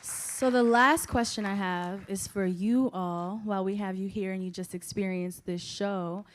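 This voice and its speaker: A woman's voice: mid-range voice, fairly even intonation, unhurried, slight background noise. A woman moderating a post-show discussion in an appreciative, encouraging way as she addresses the panel and audience.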